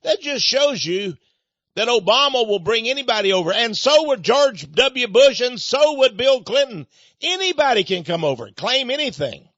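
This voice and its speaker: Sarcastic high-voiced male radio host. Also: big pitch swings, normal speed, a bit bright, no room sound, dull audio. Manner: sarcastic, mocking; rambling, addresses listeners as folks. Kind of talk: radio talk monologue.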